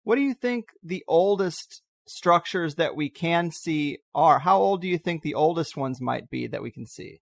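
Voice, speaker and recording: A man's voice: a little high, expressive, normal speed, vocal fry, hardly any pauses, dry recording, studio quality. A man hosting a podcast, interviewing a guest in a casual, conversational way.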